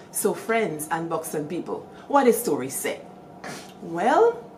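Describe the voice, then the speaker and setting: Guyanese woman, middle-aged, animated storyteller, lowish voice, faint background hiss. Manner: lively, animated storytelling with call-outs to listeners. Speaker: storyteller host. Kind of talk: storytelling talk.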